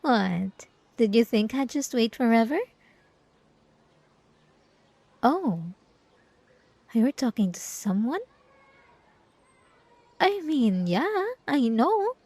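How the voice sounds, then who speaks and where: A woman playing a possessive, obsessive ex-girlfriend in an ASMR roleplay, with drawn-out, cooing condescension and sweet menace. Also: a little high, big pitch swings, darkish tone, no echo, heavily acted. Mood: mocking. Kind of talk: ASMR roleplay monologue to the listener.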